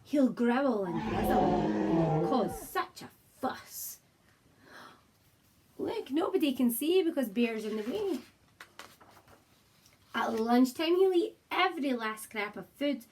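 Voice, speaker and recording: A woman primary teacher with a slightly husky voice, talking to young children and reading a picture book aloud in an expressive, encouraging way. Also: high voice, slow pace, long pauses, a little hiss or hum. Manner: expressive, encouraging, simple address to small children. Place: child's room at home, indoors.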